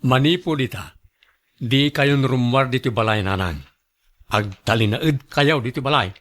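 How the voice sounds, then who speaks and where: A man's voice: medium pitch, unhurried, fairly bright tone, natural voice. A man acting in a radio drama as a stern, exasperated adult son, firm and reproachful, with a Filipino Ilocano accent. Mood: scolding. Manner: scolding, firm.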